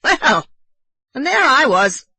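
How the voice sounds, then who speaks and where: A woman's voice: high-pitched, big pitch swings, takes it slowly, no echo, pitched above the performer's own voice, exaggerated voice. A woman voicing an irritable middle-aged man who is ill, questioning sharply. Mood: agitated. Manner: sharp, interrogating.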